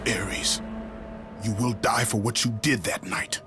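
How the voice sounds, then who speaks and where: A male actor playing a fierce, tormented Spartan warrior with a gravelly, intense, vengeful delivery. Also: fairly low pitch, fairly even intonation, takes it slowly. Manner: intense, dramatic. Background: loud dramatic music.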